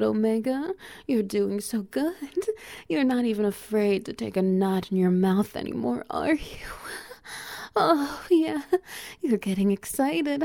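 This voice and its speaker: A woman with a low, gravelly edge and a mellow, warm tone, talking slowly in a teasing, commanding manner. She often sinks into a soft whisper and lets out breathy little chuckles, close and dry on the mic. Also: no echo. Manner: breathy little laughs between lines. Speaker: erotic audio roleplay performer. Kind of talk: intimate audio roleplay.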